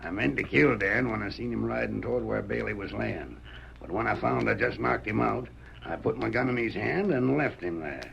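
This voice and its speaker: A gravelly scheming outlaw. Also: male voice, lowish voice, moderate pace, fairly bright tone, a little hiss or hum. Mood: sly. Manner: scornful, impatient. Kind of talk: 1930s radio drama acting. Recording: dull 1930s radio recording.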